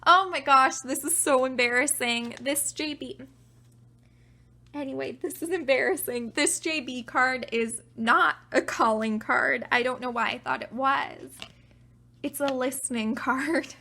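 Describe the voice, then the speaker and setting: A young woman casually talking through her collection, chatty and self-deprecating, her voice getting raspy from talking a while. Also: high voice, a little slow, pushed and bright. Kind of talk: casual show-and-tell narration.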